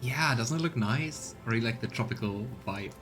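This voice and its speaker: A man's voice: mid-range voice, brisk, music in the background. A man streaming a let's play, giving casual commentary and reading game narration aloud.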